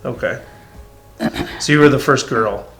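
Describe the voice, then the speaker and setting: A man's voice: mid-range voice, measured pace, fairly bright tone, faint music behind. A man interviewing his grandparents, hesitant and full of fillers, asking short questions. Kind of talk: casual family interview.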